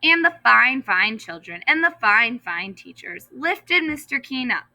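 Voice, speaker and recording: A woman, a teacher, reading a children's story aloud expressively and deliberately, stressing repeated words. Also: on the high side, sing-song, sharp tone, no room sound, low-quality recording. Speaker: first grade teacher. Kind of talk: children's story read-aloud with asides to pupils.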